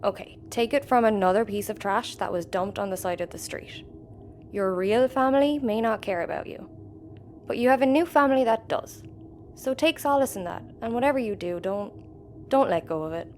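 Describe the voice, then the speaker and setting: An actress playing a tough, wry, guardedly tender spaceship crew member in an audio comedy. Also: female voice, medium pitch, normal speed, close to an everyday voice. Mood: earnest comfort. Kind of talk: sci-fi audio comedy acting. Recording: faint background noise.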